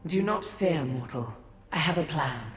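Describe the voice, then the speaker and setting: An actress playing an ancient, aloof godlike being in a fantasy game, speaking formally and with haughty, cryptic condescension. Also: female voice, low-pitched, lively intonation, faint music behind. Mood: grave, composed. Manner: measured, formal, condescending to mortals. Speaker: video game character. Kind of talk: scripted fantasy game dialogue. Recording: echoey, long reverb.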